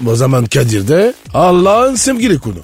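Man as vain radio comedy sidekick: highish lively voice, cocky short retorts, music behind. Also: a little fast, slightly rough, full character voice. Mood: cheeky.

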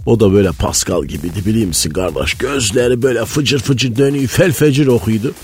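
A man's voice: on the low side, fast talker, darkish tone, natural voice. A man playing a pious, conspiracy-minded provincial economy guru in radio comedy, earnest and rambling, with a Malatya accent from eastern Anatolia. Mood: deadpan storytelling. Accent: Malatya, eastern Anatolian Turkish. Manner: folksy, earnest, rambling anecdotes. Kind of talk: comic radio character monologue. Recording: studio voice over background music.

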